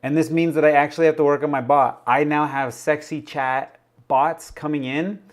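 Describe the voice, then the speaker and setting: A man's voice: fairly high pitch, fairly even intonation, normal speed. Rambling man hosting a livestream. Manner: rambling, laughs often, reads viewer chat. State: slightly raspy voice. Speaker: livestream host. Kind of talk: casual livestream chat.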